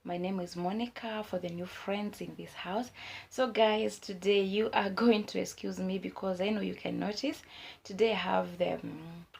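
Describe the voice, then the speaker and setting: A young woman vlogger with a hoarse voice from a cold, giving earnest advice in a casual way, with many fillers. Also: medium pitch, fairly even intonation, normal speed, a little mellow. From Kenya. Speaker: YouTube vlogger.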